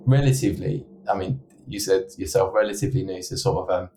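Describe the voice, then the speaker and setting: Man, from Essex, podcast host, quick rambling delivery, lower pitch. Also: mellow, slight background noise. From Essex, England. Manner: rambling, quick, swears casually. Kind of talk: casual podcast interview.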